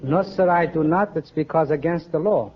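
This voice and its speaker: A man's voice: fairly high pitch, normal speed. A man with a Persian accent giving polite, formal answers as a contestant on a radio quiz show. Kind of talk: polite interview answers and anecdotes. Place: radio studio stage with audience. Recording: muffled vintage radio broadcast recording.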